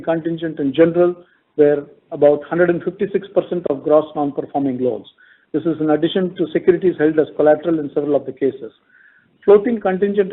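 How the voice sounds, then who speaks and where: A man with an Indian accent gives a formal, businesslike earnings call presentation as a finance executive, methodically reading out figures. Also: fairly high pitch. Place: conference call. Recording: tinny narrow phone conference line.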